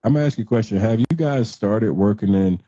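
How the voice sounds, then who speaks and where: A man's voice: quick pace, warm tone. A man hosting a casual livestream, talking bluntly in slang and repeating words for emphasis. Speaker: host of a livestream show. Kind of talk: casual live talk with commentary. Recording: muffled, boomy roomy livestream audio.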